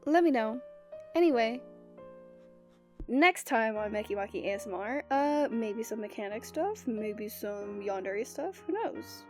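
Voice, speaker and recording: Casting: woman ASMR creator, fairly high voice, informal, easygoing outro chat with y'all-style folksy phrasing. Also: expressive, a little slow, a little mellow, faint music behind. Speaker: ASMR voice actor, channel host. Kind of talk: casual outro chat to listeners.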